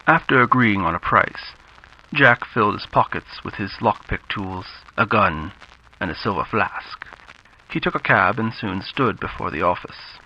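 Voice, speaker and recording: Bright-voiced man narrating horror, muffled recording. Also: fairly low pitch, lively intonation, a little slow. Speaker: narrator of a horror audio drama. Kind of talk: scripted horror storytelling, classic radio drama tribute. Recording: muffled lo-fi audio with background noise.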